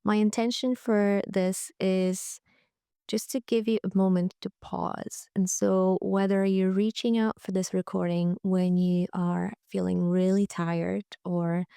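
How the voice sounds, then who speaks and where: A woman leading a guided relaxation meditation in a gentle, soothing, unhurried way, with frequent pauses. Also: mid-range voice, fairly even intonation, vocal fry, clear, resonant tone, no echo, studio quality. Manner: gentle, soothing, pausing often. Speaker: yoga nidra guide.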